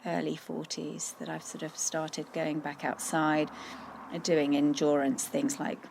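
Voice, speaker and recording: A middle-aged woman from Austria talks as a podcast guest in an earnest, encouraging way, often restarting her phrases. Her voice sits low and has a gravelly, creaky texture. A faint hiss of noise runs behind her. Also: little pitch movement, measured pace, a little mellow, low-quality recording. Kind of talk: casual podcast interview.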